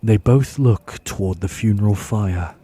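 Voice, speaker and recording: Deep, slow solemn male narrator. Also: warm tone, husky. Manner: solemn, measured storyteller. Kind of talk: scripted audio drama narration. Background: music underneath.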